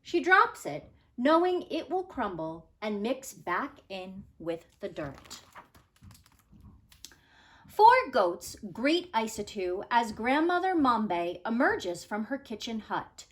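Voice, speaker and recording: A woman reading a children's picture book aloud like a teacher, with deliberate, expressive storytelling delivery. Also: a little high.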